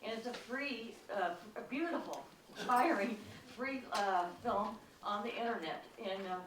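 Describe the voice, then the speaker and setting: A woman in the audience giving a quick, enthusiastic public comment at a panel. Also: a little high. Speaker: audience member. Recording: roomy hall, distant mic, faint noise.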